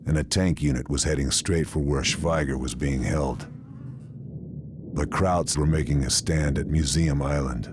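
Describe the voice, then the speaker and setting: An actor playing a grim American wartime sniper, narrating his mission in terse, deadpan game voice-over. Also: a bass voice, background noise. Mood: grim resolve. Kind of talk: scripted noir-style voice-over narration.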